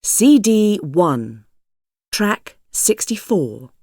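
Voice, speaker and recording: Very slow, mellow woman announcer. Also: medium pitch, big pitch swings, no echo, studio quality. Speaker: course narrator. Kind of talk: announcing track numbers.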